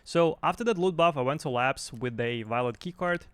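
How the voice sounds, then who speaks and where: A man's voice: medium pitch, normal speed, clean tone, no room sound. Casual man giving gaming news, close dry sound. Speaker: YouTube game news host.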